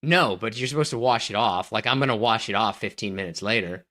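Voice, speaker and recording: A man's voice: fairly low pitch, a little fast, pushed and bright. Man, podcast co-host, casual movie chat, needling, emphatic, opinionated. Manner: teasing, needling his co-host. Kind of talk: casual banter about movies.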